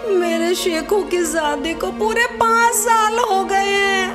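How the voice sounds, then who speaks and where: Casting: actress for sharp-tongued, lamenting comic mother, very high voice, drawn-out delivery, music behind. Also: lively intonation. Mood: tearful pleading. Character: scolding, lamenting middle-aged mother longing for grandchild. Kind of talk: broad comedy skit acting.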